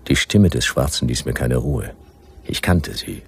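The bottomless, creaky-voiced private eye of a late-night sci-fi radio serial, terse, weary and deadpan. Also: male voice, rumbling low, measured pace, heavily acted. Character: laconic, world-weary male private detective of the future. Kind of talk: first-person radio drama narration.

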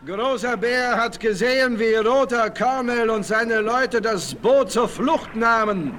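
Proud western warrior, very high voice, slow solemn delivery, vintage radio play. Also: male voice, a bit bright, exaggerated voice. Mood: solemn resolve. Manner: solemn, speaks of himself in third person. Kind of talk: 1960s German radio play acting. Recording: slightly noisy old vinyl record.

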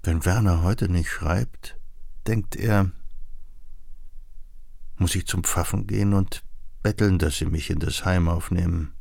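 Man, literary radio narration, measured, very slow, heavy vocal fry, studio-dry. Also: sits low, fairly even intonation, a little mellow, no echo.

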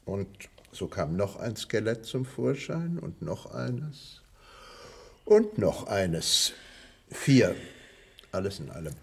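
Grave, husky-voiced doctor. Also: male voice, on the low side, big pitch swings, heavily acted. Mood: sombre. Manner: deliberate, dramatic pauses, measured storytelling. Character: grave village doctor confessing old secrets. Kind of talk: German radio crime play acting.

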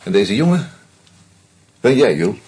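Casting: a sardonic, boozy narrator in a radio play, lowish voice, very breathy-husky tone. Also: male voice, sing-song, a little mellow, exaggerated voice. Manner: slow, sardonic, confronting. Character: drunk bitter man exposing a murderer. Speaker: Hans Troebo, narrator. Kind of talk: first-person radio drama narration.

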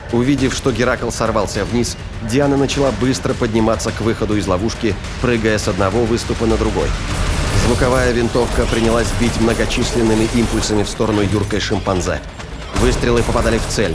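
A man reads dramatic fiction as a narrator. His voice is fairly low, and music plays prominently behind him.

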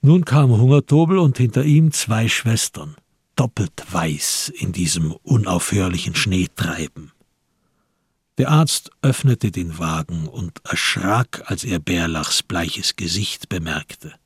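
A man narrating a literary radio drama in a measured, grave and deliberate manner. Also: low-pitched, expressive, breathy edge, no echo, clean studio sound.

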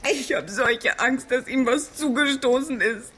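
A woman's voice: high voice, measured pace, a crowd in the background. An actress playing an anxious, trusting young woman in love in a radio drama, hesitant, earnest and tearful. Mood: tearful, afraid.

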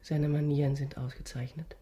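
Dark-voiced, dignified countess, acted by a woman. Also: deep voice, little pitch movement, moderate pace, close to an everyday voice. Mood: uneasy. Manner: formal, gracious, restrained. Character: dignified countess and mother, female, anxious, restrained, gracious. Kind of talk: German radio drama acting.

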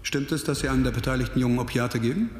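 A man acting an earnest, persistent police investigator in a radio crime drama, speaking in a measured, persuasive way. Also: medium pitch, lightly acted. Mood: businesslike. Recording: studio radio drama, music underneath.

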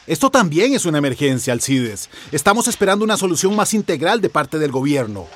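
A man's voice: on the high side, sing-song, a little fast, a bit bright. A man acting in a radio drama as a frustrated, insistent advocate for his community. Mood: exasperated.

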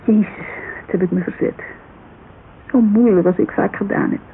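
Actress playing a grieving, creaky-voiced woman. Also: medium pitch, steady tone, normal speed, natural voice. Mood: rueful. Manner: hesitant, colloquial, confessional. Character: grieving, self-reproachful woman confiding about her dead lover. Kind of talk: naturalistic radio drama dialogue. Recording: muffled, hissy old radio recording.

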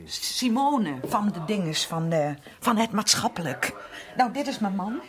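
Actress as a fussy, animated chatty housewife. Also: female voice, mid-range voice, unhurried, close to an everyday voice. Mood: flustered, eager. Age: middle-aged. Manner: rambling, hesitant, colloquial, tag questions. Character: chatty working-class housewife and protective mother, fussy and flustered. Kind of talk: naturalistic radio drama acting. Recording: faint noise and clicks, old radio drama.

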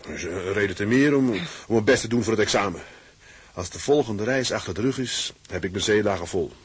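An actor playing a plain-spoken young sailor in a radio drama, husky, colloquial and hearty. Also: male voice, on the low side, a little fast. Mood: glad, eager. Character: hearty, plain-spoken young Dutch seaman and loving husband. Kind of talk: Dutch radio drama acting.

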